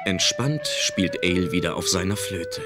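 A man's voice: low voice, expressive, measured pace, loud music behind the voice. A man narrating an audio drama from a script.

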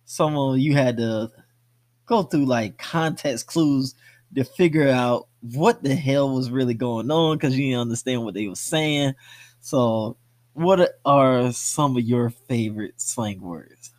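Man, mid-pitched voice, podcast co-host, casual movie talk, slangy, free with swearing. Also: takes it slowly, a little mellow.

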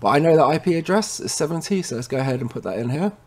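A man presenting a technical tutorial in an informal way, talking viewers through each step and often checking in with a quick tag word. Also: on the high side, big pitch swings, a little mellow. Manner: informal, addresses viewers as guys, frequent tag okay. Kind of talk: casual screen-recorded how-to walkthrough.

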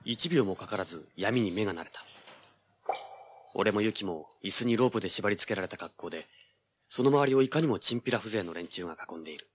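A man's voice: on the low side, expressive, fast talker, slight background noise, lightly acted. An actor playing a cocky, cool-headed young treasure hunter who narrates his own hard-boiled adventure in an audio drama. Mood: tense, alert. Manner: hard-boiled, self-assured.

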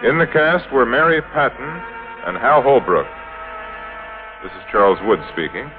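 A man's voice: medium pitch, sing-song, normal speed. Old-time radio announcer man over music. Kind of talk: 1950s radio announcing, scripted. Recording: muffled old radio recording, music behind.

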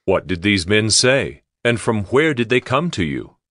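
An actor playing a grave prophet in a dramatized scripture reading, speaking with declamatory authority. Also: male voice, lowish voice, very animated, measured pace, a bit bright, dry recording, studio quality. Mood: questioning. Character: grave male prophet delivering God's word.

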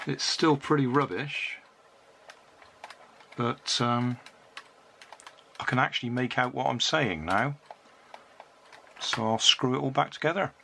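Older british guy tinkering at his workbench, sharp forceful voice, some room noise and clicking. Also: mid-range voice, creaky. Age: 60s or older. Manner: unhurried, matter-of-fact. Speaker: hobbyist repairing and reviewing a toy. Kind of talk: casual hands-on demonstration narration. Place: cluttered indoor hobby workbench. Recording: noisy room with clicks and handling sounds.

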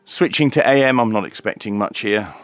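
A man's voice: lowish voice, brisk, no room sound, faint music behind. A man giving an unscripted hands-on product demonstration as a reviewer, explaining step by step in a matter-of-fact, methodical way. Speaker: radio reviewer presenting a demonstration.

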